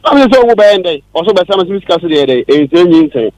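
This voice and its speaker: Male caller, Ghanaian accent: high-pitched, dry sound, insistent and repetitive in a heated radio dispute. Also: animated, fast, darkish tone, no echo, low-quality recording. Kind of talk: heated argument.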